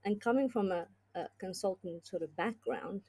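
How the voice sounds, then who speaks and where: A woman's voice: mid-range voice, moderate pace, darkish tone, breathy edge. A middle-aged woman from South Africa with a South African accent and an Australian twang, talking through her career as an interview guest in a thoughtful, conversational way. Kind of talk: casual interview talk.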